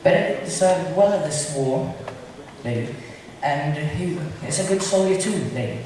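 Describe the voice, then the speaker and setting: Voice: medium pitch, moderate pace, a little mellow, close to an everyday voice. An amateur performer playing a polite, loyal male messenger in a stage comedy, speaking deferentially. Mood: earnest. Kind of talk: amateur stage acting of modernised Shakespeare comedy. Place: large hall, distant microphone at a stage play. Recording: echoey hall from far off, faint noise.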